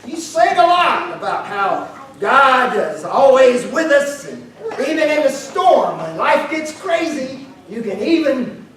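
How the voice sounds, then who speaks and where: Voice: sits high, very animated, takes it slowly, a bit bright, distant mic, exaggerated voice. A performer playing Salty, a stranded island castaway in a church skit, talking in a folksy, drawling way. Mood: earnest. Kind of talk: comic skit dialogue. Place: large church hall. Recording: echoey hall, picked up from afar.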